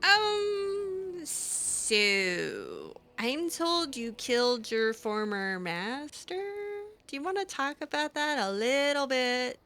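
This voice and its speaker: Casting: female roleplay gamer, higher-pitched and slow-paced, chatty and hesitant, improvising in character. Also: sing-song, pushed and bright. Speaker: video game player and host.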